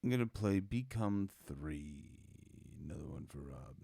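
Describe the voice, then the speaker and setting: A hoarse man, a musician, chatting casually and rambling between songs for his fans. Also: very deep voice, animated, measured pace, dark tone. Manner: rambling, loose, with casual slang asides. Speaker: musician hosting a fan update. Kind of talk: casual late-night chat between songs. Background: guitar playing.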